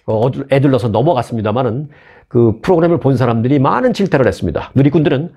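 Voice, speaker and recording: A man's voice: medium pitch, expressive, fast talker, mellow. A man from Seoul hosting a news commentary show in a chatty, conversational way, with asides and rhetorical questions. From Seoul, South Korea.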